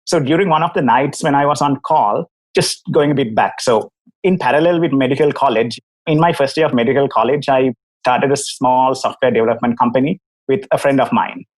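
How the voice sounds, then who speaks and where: A man from Sri Lanka in his thirties speaks with a Sri Lankan accent. He tells his story as a podcast guest in a candid, wry way, at an average pitch. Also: fairly quick, rough recording. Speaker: guest, app company founder.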